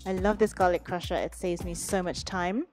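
A woman hosting a cooking video, calmly talking through each step in a voice-over. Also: on the low side, normal speed. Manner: relaxed step-by-step instructions. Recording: voice-over with music underneath. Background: background music.

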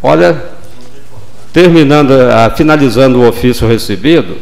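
Older man, councilman, formal deliberate delivery, desk mic in a chamber. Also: medium pitch, animated, gravelly, darkish tone, low-quality recording. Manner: measured, formal, deliberate pauses. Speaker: city councilman. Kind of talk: formal legislative speech. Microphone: desk gooseneck microphone.

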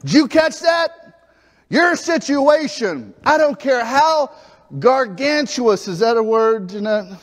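A man, preaching a lively sermon in a church sanctuary on a lapel mic, acting out scenes as he moves about. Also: very high voice, slow pace. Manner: animated preaching, acts out biblical dialogue, moves about the stage. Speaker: pastor. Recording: reverberant church hall.

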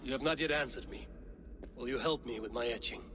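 An actor playing an earnest, mourning Viking warrior in a video game, in a measured, sombre way. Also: male voice, medium pitch, background noise, close to an everyday voice. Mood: mournful. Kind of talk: dramatic video game acting.

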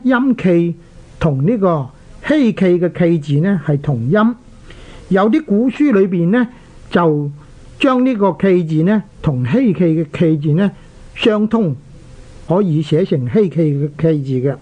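Radio host, Hong Kong Cantonese accent, didactic, deliberate explanations, medium pitch, muffled lo-fi recording. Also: a little mellow. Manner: didactic, deliberate, spelling out characters. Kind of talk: explanatory talk on Chinese characters.

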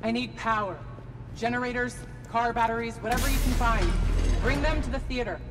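A woman's voice: fairly high pitch, fairly even intonation, a little fast, close to an everyday voice. An actress playing a vengeful young woman who leads an underground gang in a video game, terse and intense. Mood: commanding. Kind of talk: video game cutscene acting. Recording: long hall reverb, music behind.

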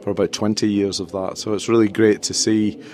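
A man's voice: lowish voice, flat delivery, a little fast, a little mellow. A middle-aged man with a Scottish accent, explaining things conversationally as a guest in a casual podcast interview. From Scotland. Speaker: interview guest, tech company chief executive.

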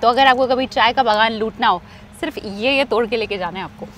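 A woman's voice: a little high, brisk, fairly bright tone, a little hiss or hum. A young woman co-hosting a travel vlog, chatting playfully and explaining things outdoors at a tea estate. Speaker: travel vlogger, co-host. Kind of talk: casual travel vlog chat.

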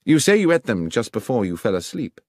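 A man voicing a brisk, incisive male detective in a dramatised audiobook, precise and emphatic, with a coolly sardonic edge. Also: mid-range voice, very animated, no echo, clean studio sound, voice pushed up. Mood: probing.

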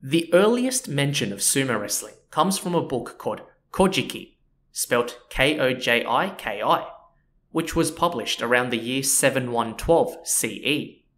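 A man hosting a history podcast, narrating in a relaxed, chatty way with frequent asides to the listener. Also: mid-range voice, unhurried. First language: not Japanese. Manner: relaxed and chatty, with frequent "you know" and "so yeah". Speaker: podcast host.

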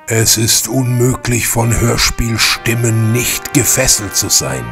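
A man's voice: mid-range voice, slow, gravelly, a bit bright, soft background music. A man hosting with casual, enthusiastic fan commentary, chattily addressing viewers with little tag questions. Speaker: channel host.

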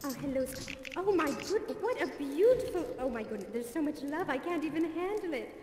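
A woman's voice: high-pitched, expressive, a little fast, a little mellow, slight background noise. A woman playing a gushing diva cat singer. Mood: gushing, theatrical. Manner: theatrical, gushing, endearments. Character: glamorous diva female cat cabaret singer. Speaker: variety show performer. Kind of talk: cabaret song and stage patter. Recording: echoey hall with music behind.

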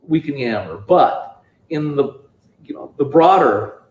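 A man giving a public talk at a bookstore event, speaking haltingly with frequent restarts and "you know"s. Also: medium pitch, darkish tone. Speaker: guest speaker. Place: bookstore event space with audience. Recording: echoey room.

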